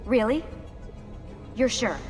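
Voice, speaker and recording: A woman's voice: a little high, expressive, takes it slowly. Terse young woman under film music. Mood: earnest, guarded. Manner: terse, commanding. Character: urgent, guarded young woman leading an escape. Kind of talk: naturalistic video game cutscene acting.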